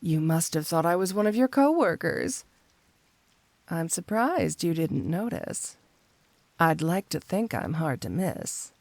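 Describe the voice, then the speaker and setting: A woman's voice: on the low side, animated, gravelly, darkish tone, dry recording. A woman playing a flirty, teasing supervillain cat burglar in an intimate ASMR roleplay, speaking coyly to the listener. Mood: teasing, affectionate. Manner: coy, teasing, intimate, unhurried.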